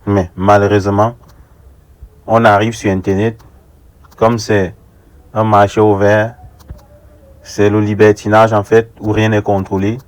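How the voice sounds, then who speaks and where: A young man from Africa giving an earnest, deliberate opinion monologue, repeating phrases for rhetorical emphasis as an online commentator. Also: lowish voice, little pitch movement, smooth. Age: young adult.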